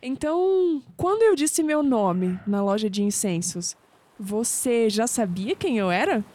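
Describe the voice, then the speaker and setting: Uma atriz brasileira interpretando uma jovem cética, curiosa e sarcástica numa áudio série, em tom questionador. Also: female voice, a little high, lively intonation, unhurried, a little mellow. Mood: curious. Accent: Brazilian. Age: young adult. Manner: wry, questioning. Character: skeptical, sharp-witted young woman, curious and sarcastic. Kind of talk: audio drama dialogue.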